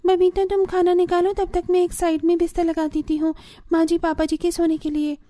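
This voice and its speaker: A woman's voice: very high-pitched, level tone, brisk, warm tone, no echo, voice pushed up. A woman voicing a dutiful young village daughter-in-law in a family cartoon, polite, earnest and practical. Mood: polite, soothing. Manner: deferential, earnest, pleading. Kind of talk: Hindi family cartoon voice acting.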